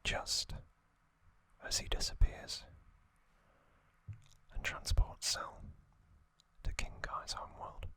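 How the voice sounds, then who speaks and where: A man whispering a scripted ASMR narration in short, deliberate, hushed phrases. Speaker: ASMR host.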